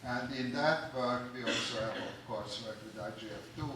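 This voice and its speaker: A man's voice: medium pitch, normal speed. Hungarian man, audience questioner, far from the mic in a big reverberant hall with background noise. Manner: long, detailed technical questioning. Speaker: audience member asking a question. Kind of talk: conference Q&A question. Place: large conference hall. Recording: echoey hall, distant mic, noisy.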